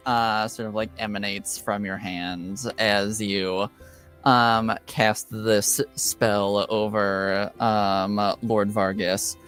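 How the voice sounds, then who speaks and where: A man acting as game master, narrating and explaining rules of a tabletop game in a halting way. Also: medium pitch, clear, resonant tone. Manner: halting, hesitant delivery.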